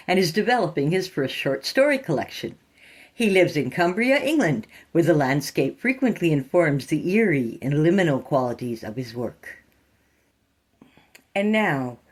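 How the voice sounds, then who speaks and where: A woman reading scripted introductions and sign-offs as a podcast host. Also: low voice.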